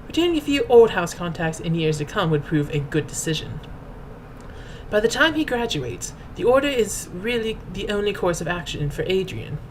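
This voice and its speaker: A woman's voice: mid-range voice, a little hiss or hum, low-quality recording. Pompous woman giving a theatrical reading. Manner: pompous, mock-grand, formal delivery. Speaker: host and reader. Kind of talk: theatrical dramatic reading of fan fiction.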